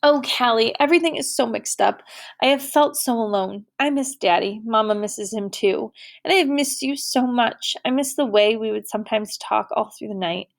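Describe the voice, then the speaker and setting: A woman voicing a tearful, quick-tempered young girl. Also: fairly high pitch, creaky, pitched above the performer's own voice. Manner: pleading, tearful.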